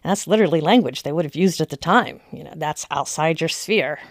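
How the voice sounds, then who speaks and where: A woman speaks as an expert historian in a conversational interview. Her voice is very low and creaky, recorded close and dry, and she stresses key words as she explains. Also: brisk, no echo.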